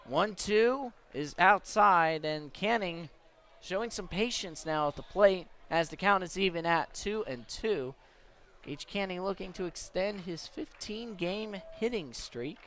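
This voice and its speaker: An American man giving measured, descriptive live radio play-by-play of a baseball game as the announcer. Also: high voice, expressive, fairly bright tone. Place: at a baseball stadium.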